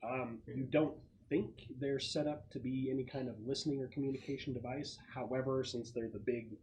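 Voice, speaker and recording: A man runs a tabletop roleplaying game as game master, explaining situations with halting phrasing and pauses. His pitch is middling, and the recording carries some background noise and clicks. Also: fairly even intonation, a little mellow. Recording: clearly noisy room recording with clicks.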